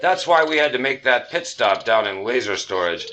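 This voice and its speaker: A man's voice: medium pitch, big pitch swings, moderate pace, sharp tone, a little hiss or hum. A man playing a cocky, commanding starship captain with a swaggering delivery in a sci-fi audio comedy. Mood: irritated.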